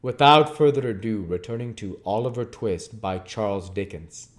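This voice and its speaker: A man's voice: on the low side, animated, moderate pace. A man reading a classic novel aloud as a dramatic, emphatic narrator. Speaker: audiobook reader.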